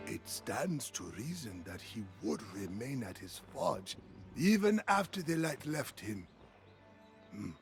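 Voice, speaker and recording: An actor playing a grave, wise old mentor in a fantasy game, speaking in a measured, solemn way with deliberate pauses. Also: male voice, medium pitch, gravelly, exaggerated voice. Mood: approving, wistful. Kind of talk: dramatic fantasy game voice acting. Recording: music behind the voice. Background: game music.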